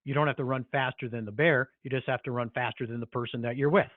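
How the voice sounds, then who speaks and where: A man's voice: mid-range voice, fairly even intonation, quick pace, no room sound. A man presenting informally on a business conference call, talking in a hesitant, rambling, conversational way. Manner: hesitant, rambling, conversational with frequent "you know". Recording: tinny phone-line conference call.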